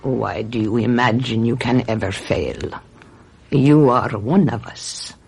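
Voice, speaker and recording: An actor in a radio drama playing a solemn, commanding coven leader with a gravelly, husky voice and incantatory delivery. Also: male voice, medium pitch, slow pace, loud music behind the voice. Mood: solemn, knowing.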